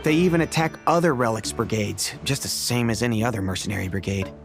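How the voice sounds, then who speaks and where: A man's voice: on the low side, quick pace, gravelly. An actor playing a friendly male mercenary scout who briefs newcomers in a chatty, easy way. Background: guitar music.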